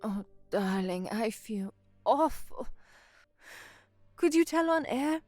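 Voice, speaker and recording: A woman's voice: on the high side, lively intonation, slow pace, a little mellow, no echo, soft background music. An actress playing an anxious, frank young working-class woman, a trainee newsreader, in an audio drama with first-person narration. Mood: worried, flustered.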